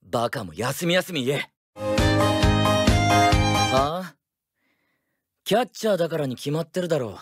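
A man voicing a gruff, competitive teenage boy baseball catcher in an anime-style game. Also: fairly high pitch, lively intonation, normal speed, pauses often, soft background music. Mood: gruff, defiant.

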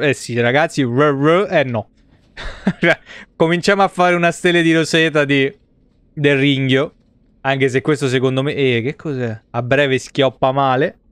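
A man's voice: on the high side, clean tone, dry recording. A man, around his thirties, giving casual, joking live commentary on a game and swearing freely. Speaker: game streamer. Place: indoor home streaming setup.

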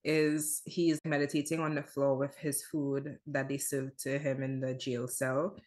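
A woman hosting a casual podcast, recounting film scenes in an animated, amused way. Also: rumbling low, unhurried. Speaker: podcast host. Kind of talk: casual chat.

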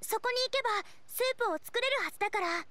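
A woman playing a cheerful, eager teenage girl chef in an anime game, with energetic, expressive and friendly delivery. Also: extremely high pitch, racing, no echo. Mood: concerned, urgent. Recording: studio voice over game music.

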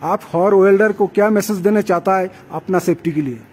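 A man's voice: high voice, fairly quick, a little mellow, a little hiss or hum. A man interviewing workers off camera, asking long, polite questions about safety.

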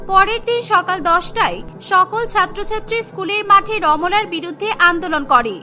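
A woman narrates a scripted cartoon tale. Her voice is strikingly high-pitched and bright. Soft music plays beneath the narration. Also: normal speed. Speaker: story narrator.

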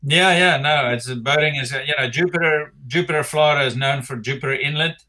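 Bright-voiced South African man on a video call. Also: on the high side, fast. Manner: rambling, relaxed, many fillers and restarts. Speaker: interview guest, retired tennis player. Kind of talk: casual interview chat. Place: home office with framed pictures.

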